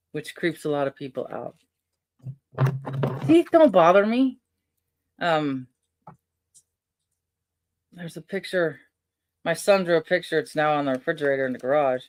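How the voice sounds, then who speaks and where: A middle-aged man with a soft voice, chatting casually while he unpacks and crafts. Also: a little high, no echo. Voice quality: soft-spoken. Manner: rambling, chatty, many fillers and restarts. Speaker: vlogger unboxing and crafting.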